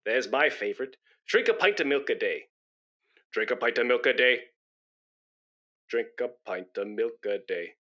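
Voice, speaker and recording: An actor playing a pompous, nervous British chatterbox in a comic radio play, rambling and stammering when flustered. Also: male voice, mid-range voice, expressive, normal speed, pauses often, bright, forceful tone, lo-fi sound. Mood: wry, musing. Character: pompous, nervous, chattering British man come to give blood. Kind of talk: comic radio play acting before an audience.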